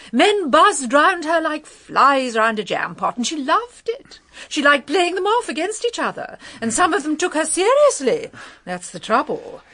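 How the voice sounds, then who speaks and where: A woman's voice: high voice, a bit bright, heavily acted. An actress playing a chatty, gossipy married woman in a radio mystery, airy, rambling and breezily opinionated. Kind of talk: British radio mystery drama.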